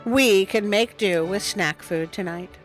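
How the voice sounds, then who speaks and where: A woman's voice: medium pitch, measured pace, faint music behind, lightly acted, poor audio. A woman voicing a patient but firm father wolf in a storybook, reasoning in a fatherly way. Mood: reassuring.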